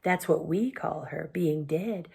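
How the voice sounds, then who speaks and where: Storybook old hag, shrill whine, fawning, coaxing, mock-humble, sinister. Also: female voice, a little slow, creaky, a little mellow, slightly rough, heavily acted. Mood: wheedling, sinister. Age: older. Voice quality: shrill, whining.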